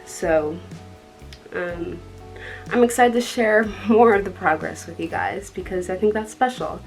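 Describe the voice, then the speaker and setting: Young woman chatting, dark low voice. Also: a little slow, soft background music, rough recording. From Colorado. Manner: rambling, with many ums and soft laughs. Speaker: vlogger. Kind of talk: casual chat to camera.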